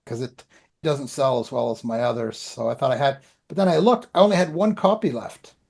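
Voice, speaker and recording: A man's voice: medium pitch, brisk, creaky, darkish tone. A man with a Canadian accent, from the Ottawa area, chatting casually as a podcast co-host in a rambling, self-deprecating way. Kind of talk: casual chat, personal updates.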